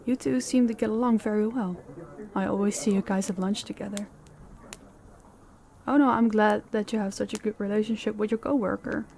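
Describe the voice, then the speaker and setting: A woman playing a composed female company boss in an audio roleplay, speaking in a measured, politely inquisitive way with a falsely casual air. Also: medium pitch, fairly even intonation, creaky, a little mellow, lightly acted. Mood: politely curious. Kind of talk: scripted audio roleplay acting, one-sided conversation.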